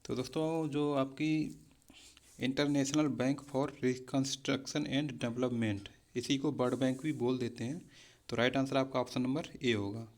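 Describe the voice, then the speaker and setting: Male coaching teacher, mid-pitched voice, instructional delivery walking students through quiz answers. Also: steady tone, measured pace. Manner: instructional, repeatedly checking key points. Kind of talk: explanatory quiz lesson, addressing students as friends.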